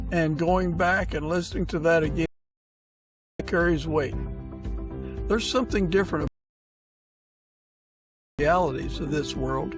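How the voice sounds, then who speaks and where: A man hosting a podcast, addressing his guest and listeners. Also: fairly high pitch. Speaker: podcast host.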